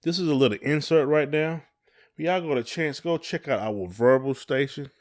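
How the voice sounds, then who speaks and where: A man's voice: a little high, normal speed. A man chatting casually and informally as a podcast co-host, in folksy phrasing. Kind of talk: casual conversational chat.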